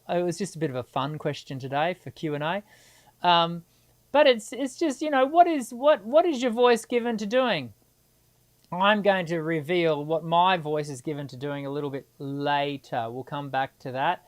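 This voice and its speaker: A middle-aged woman, hosting a live singing Q&A and answering viewers in a chatty, encouraging way. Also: sits low. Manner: chatty and encouraging, many restarts and filler ums.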